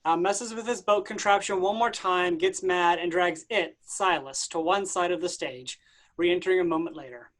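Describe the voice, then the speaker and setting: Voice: medium pitch, moderate pace, fairly bright tone. A matter-of-fact reader giving scripted stage directions for a play.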